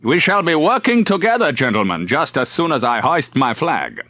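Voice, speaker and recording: A man voicing a brisk, smugly genial rear admiral in an audiobook, with bright, clipped, self-satisfied delivery. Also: fairly high pitch, big pitch swings, no room sound, voice pushed up, heavily acted. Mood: brisk briefing. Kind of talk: audiobook character acting.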